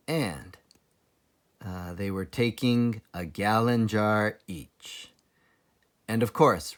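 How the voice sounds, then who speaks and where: A man reading a children's book aloud as a teacher librarian, explaining things deliberately for young listeners. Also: deep voice. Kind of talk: children's storybook read-aloud.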